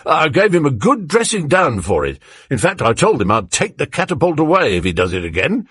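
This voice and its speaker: A bluff, cheery zealot of a neighbour, put on by a man. He is chummy and eager, and his lively voice leaps about with a husky grain in a dry, close sound. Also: on the high side, a little fast, no room sound, voice pushed up, heavily acted. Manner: cheery and eager, chummy "old boy" talk. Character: hearty, bluff, sweaty zealous male neighbour.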